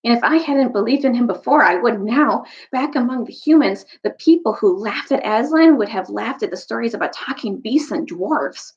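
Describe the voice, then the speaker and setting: Lady doing an honest young prince for storytime, earnest, expressive, a bit dull sounding mic. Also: a little high, moderate pace, hardly any pauses, voice pushed up. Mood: sincere.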